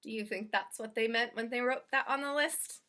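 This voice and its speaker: A woman's voice: a little high, normal speed, sharp tone. A woman reading a children's story aloud expressively, speaking directly to young listeners. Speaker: storybook reader.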